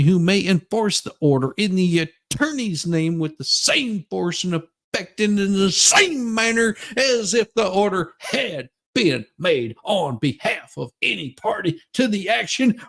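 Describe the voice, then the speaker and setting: A man with a fairly high voice full of creak reads legal text aloud as a co-host. His delivery is casual and theatrical, with an irreverent, wisecracking edge. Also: expressive, measured pace, hardly any pauses, fairly bright tone. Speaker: co-host reading a court ruling aloud. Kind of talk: casual, joking dramatic reading of a legal document.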